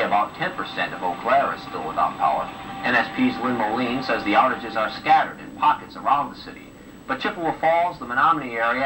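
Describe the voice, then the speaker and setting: A man presenting the news, reading scripted broadcast narration. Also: medium pitch. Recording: old home videotape of TV news, distant, hissy.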